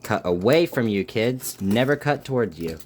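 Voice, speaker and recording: A host chatting informally and a little haltingly while unboxing items at a desk, with wry exasperation. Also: mid-range voice, animated. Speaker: host unboxing weather radios. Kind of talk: casual live chat and unboxing. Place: at a desk indoors. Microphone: desk microphone set a bit low. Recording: noisy desk microphone with clicks.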